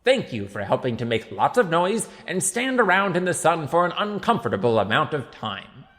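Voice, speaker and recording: A man voicing a jolly, bumbling town mayor making a loud public speech in a comic audiobook. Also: fairly high pitch, very animated, moderate pace, in a large hall, pitched above the performer's own voice. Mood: proud, excited. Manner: loud, exclamatory public address.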